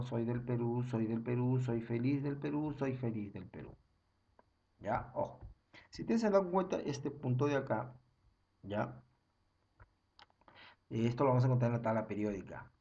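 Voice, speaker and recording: Guy teaching a class online, mellow voice, dull webcam sound, keeps repeating steps. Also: medium pitch. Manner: repetitive, step-by-step counting, frequent "ojo" and "ya". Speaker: chemistry teacher. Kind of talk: online class lecture over slides.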